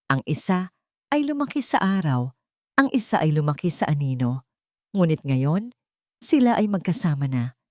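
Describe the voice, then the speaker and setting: A woman narrator tells a dramatic story in a scripted style. Her voice sits a little low and moves widely in pitch. The recording is close and dry. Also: darkish tone, no echo.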